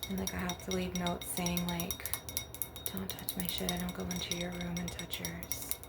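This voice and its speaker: A woman with a rather low voice that often crackles into fry. She rambles casually, blunt and aggrieved, swearing freely. Clear background noise and clinking glass taps run behind her. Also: completely flat delivery, normal speed. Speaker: ASMR video creator. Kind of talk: casual rambling monologue over ASMR tapping. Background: glass and crystal tapping.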